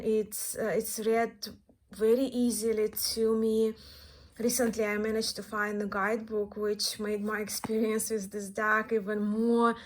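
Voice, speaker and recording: Slow-paced Eastern European woman, higher voice. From Belarus, born in Russia. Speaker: tarot deck reviewer, vlogger. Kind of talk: casual chat to viewers, show-and-tell.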